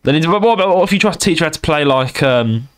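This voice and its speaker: A man's voice: a little high, expressive, fast, smooth. A young man with a London accent co-hosting a casual gaming podcast, rambling and repeating himself as he chats. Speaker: podcast co-host.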